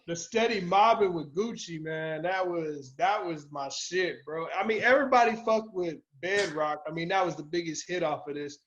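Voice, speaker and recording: A man's voice: sits high, moderate pace, few pauses, fairly bright tone. A man co-hosting a podcast, talking casually about albums in slangy language with plenty of swearing. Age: older than the co-hosts. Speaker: podcast co-host. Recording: dull lo-fi audio.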